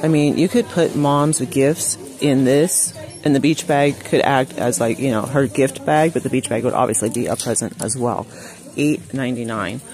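A woman's voice: extremely low pitch, moderate pace, darkish tone. A woman narrating a casual shop-with-me video, chatting to viewers and reading out prices as she browses a store. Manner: chatty, reads out prices, addresses viewers as you guys. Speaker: shopping vlogger. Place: large retail store, indoors. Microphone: phone held while filming, speaker off camera. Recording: phone audio with clear store noise.

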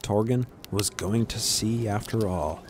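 A man's voice: on the low side, a little slow, a little mellow. A man reading a scripted fantasy adventure as a dramatic narrator. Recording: clear background ambient noise.

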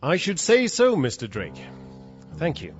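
An actor playing a genteel English lord recovering from an ordeal in an audio drama, speaking in clipped phrases. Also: male voice, on the high side, huge pitch swings, unhurried. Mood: curious, shaken. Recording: dull lo-fi audio, faint music.

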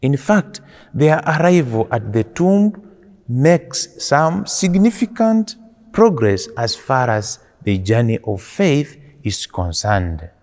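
A man in his forties, a priest giving a homily in slow, deliberate preaching with rising and falling emphasis, recorded indoors in a small church. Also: mid-range voice, very animated, darkish tone, in a large hall.

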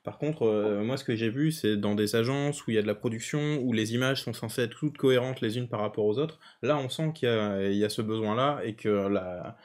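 A man's voice: medium pitch, fairly quick, hardly any pauses, a little mellow. A man hosting a livestream, chatting casually with his guest, mostly with short backchannels and easy follow-up remarks. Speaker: livestream host. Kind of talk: casual chat.

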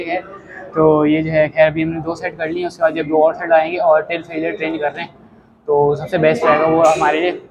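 A man's voice: a little high, low-quality recording. A young man talking casually to the camera between sets, in a gym. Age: young adult. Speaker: gym vlogger. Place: busy indoor gym. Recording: gym crowd noise behind.

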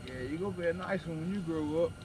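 A drawling man talks casually while night fishing from a lakeside camp, worn out and ready for sleep. He banters with the fish, half mocking and half fond, in a folksy way. The outdoor recording is noisy, with lake ambience clear behind him. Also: on the high side, steady tone, fast talker. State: tired and sleepy. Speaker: fishing vlogger. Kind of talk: casual running talk to camera while fishing.

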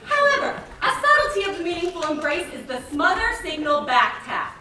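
A young woman playing a prim, mock-serious romance scientist in a comic stage lecture, projecting crisp, clinical declarations. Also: extremely high pitch, sing-song, brisk, pushed and bright, exaggerated voice. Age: young adult. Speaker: stage actor presenting rules of rom-coms. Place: large indoor theater stage. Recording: echoey theater from far off, noisy audience.

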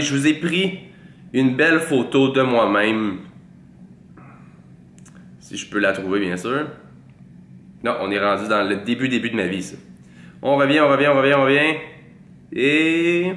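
A man's voice: medium pitch, a bit bright, echoey room, a little hiss or hum. A man in his twenties with a Québécois French accent, congested from a cold, giving a playful, mock-boastful tutorial to camera in a small room on a large studio mic. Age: 20s. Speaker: fitness vlogger. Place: small room with a green-lit wall. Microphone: large studio mic in front.

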